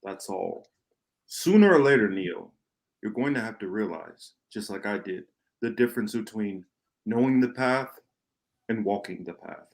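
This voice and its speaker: A man playing a calm, wise rebel mentor in a radio play reading, speaking in a measured, solemn, deliberate way. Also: lowish voice, creaky.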